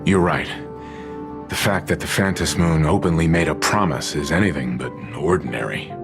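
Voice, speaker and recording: A man voicing a steady, reflective video game character in a composed, serious manner. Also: extremely low pitch, normal speed. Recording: music under the voice.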